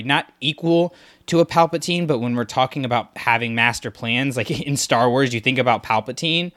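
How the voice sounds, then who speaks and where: Bright-voiced man chatting on a podcast. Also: medium pitch. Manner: rambling, filler-heavy, enthusiastic. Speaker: podcast co-host. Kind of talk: casual discussion.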